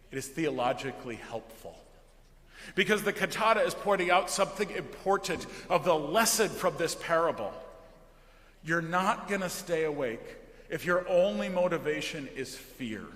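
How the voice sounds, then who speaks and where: American male pastor, sermon delivery, unhurried, earnest, emphatic with soft phrase endings, echoey hall with long reverb. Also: fairly high pitch. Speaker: pastor preaching. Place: large indoor church during worship.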